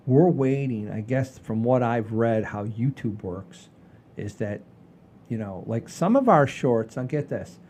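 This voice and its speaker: An older man hosting a casual podcast, rambling in a folksy, halting way with repeats and the odd mild swear. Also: medium pitch, mellow, a little hiss or hum. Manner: folksy, mildly profane, halting and repetitive. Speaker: podcast host.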